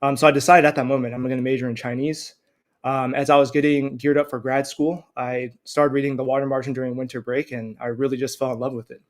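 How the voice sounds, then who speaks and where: An American man in his thirties, a scholar answering interview questions in a friendly, measured way. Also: mid-range voice, level tone. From United States. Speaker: guest scholar.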